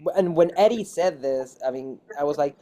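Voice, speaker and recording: A man's voice: a little high, moderate pace, dark tone. A man chatting casually as an actor being interviewed, with non-native English grammar and phrases repeated for emphasis. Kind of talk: casual chat.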